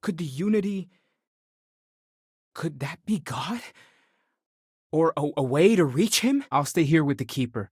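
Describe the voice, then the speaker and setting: An earnest young space explorer for a video game, searching and curious about the divine. He speaks thoughtfully and sincerely, often in a questioning way, in a slightly higher male voice with a very dry sound. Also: expressive, a little slow, long pauses, darkish tone, breathy edge, dry recording. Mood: curious, hopeful.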